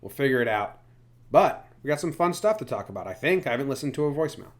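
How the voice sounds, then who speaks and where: A man's voice: medium pitch, big pitch swings, fast talker. A man hosting a sports podcast in a casual, chatty style. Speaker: podcast host.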